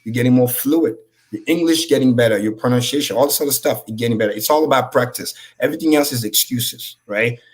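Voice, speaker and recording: High-energy man, native French speaker. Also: fast talker. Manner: high-energy, profane, preachy. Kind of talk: casual motivational talk.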